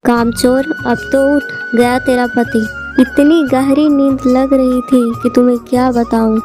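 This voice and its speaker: A high-voiced, work-shy housewife from a cartoon fable, acted by a woman. She talks dramatically, full of complaint and sly scheming, with music clearly behind her. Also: steady tone, measured pace. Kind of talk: Hindi moral-story cartoon acting.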